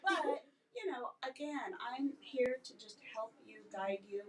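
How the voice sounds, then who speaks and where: A woman's voice: a little high, animated, a little slow, distant mic, slight background noise, poor audio. A woman who runs a credit repair business, talking earnestly as a podcast guest and laughing easily.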